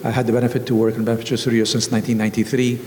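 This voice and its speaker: A man gives a clipped, brisk self-introduction on a panel. He talks fast in an even, flat tone. His voice echoes through a large hall. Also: mid-range voice, slight background noise. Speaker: board member, panelist.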